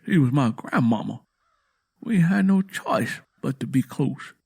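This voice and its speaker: A man's voice: medium pitch, expressive, a little slow, gravelly, a little mellow, slightly rough, exaggerated voice. A man playing a proud, defensive, hot-tempered Southern country man in an audio drama, emotional and defiant.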